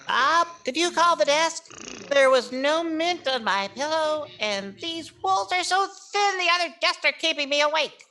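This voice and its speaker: Actor putting on high, cheeky wooden dummy kid. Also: animated, measured pace, bright, forceful tone, full character voice. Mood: complaining. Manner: sarcastic, wisecracking. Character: wisecracking ventriloquist dummy boy, cheeky complainer, playing gold prospector. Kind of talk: 1940s radio comedy acting.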